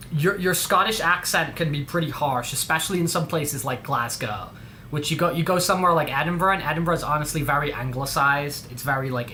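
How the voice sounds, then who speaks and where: A man's voice: on the high side, pushed and bright, a little hiss or hum. A man with an English accent hosting a livestream, chatting casually in a rambling, slangy way. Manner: rambling, heavy on slang like dude and bro. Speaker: livestream host.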